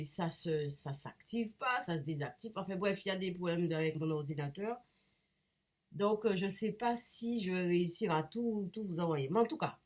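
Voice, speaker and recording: A woman with a cold talks straight to her viewers in a low, husky voice. She rambles and hesitates, breaking off and restarting as she hunts for the right words. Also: a little mellow. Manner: hesitant, many restarts and fillers, searching for words. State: has a cold. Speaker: painter and vlogger. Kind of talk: rambling casual talk to camera.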